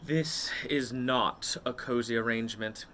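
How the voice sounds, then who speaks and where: A man voicing a fussy, mild-mannered storybook homeowner who speaks in a deliberate, thoughtful, declarative way. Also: medium pitch, pushed and bright, slight background noise. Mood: mild, resigned.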